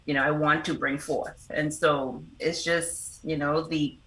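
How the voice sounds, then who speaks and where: A woman's voice: low-pitched, level tone, measured pace, a bit bright. A middle-aged woman, giving earnest, explanatory answers as an interview guest. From the Bahamas. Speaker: interview guest, foundation representative and motivational speaker. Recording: roomy, echoing sound.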